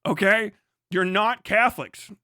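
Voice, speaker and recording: A man's voice: sits high, a little slow, bright, forceful tone, no echo, clean studio sound. A man live streaming a casual rant, speaking in a profane, sarcastic, mocking way. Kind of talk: casual livestream rant and commentary.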